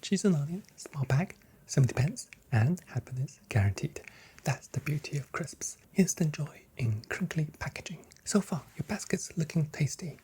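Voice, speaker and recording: A person softly whispering a customer service role play for ASMR as a shop assistant, breathy and gentle, with playful, carefully phrased sales patter. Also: mid-range voice, lively intonation, normal speed, low-quality recording.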